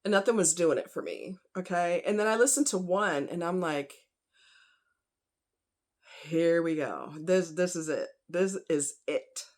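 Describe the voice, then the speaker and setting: A woman's voice: lowish voice, measured pace, darkish tone. A woman talking casually in a rambling opinion vlog, conversational and informal, with slang and rhetorical questions. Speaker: vlogger.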